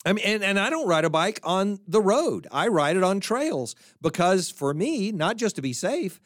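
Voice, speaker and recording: An American man giving an unscripted philosophy talk, thoughtful and halting, with frequent restarts and asides. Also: high voice, sing-song, no room sound, studio quality. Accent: General American. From United States. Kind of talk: unscripted spoken lecture.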